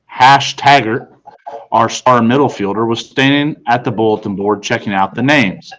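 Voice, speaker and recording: A man's voice: medium pitch, normal speed, fairly bright tone, low-quality recording. A man reading a first-person storybook narration aloud. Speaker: audiobook reader.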